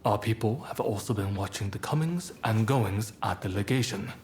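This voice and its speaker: An actor playing a composed, persuasive rebel clan leader in a video game, speaking in a measured, polite, formal manner. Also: male voice, fairly low pitch, animated, darkish tone, a little hiss or hum, lightly acted. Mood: earnest, persuasive. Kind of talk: video game cutscene acting.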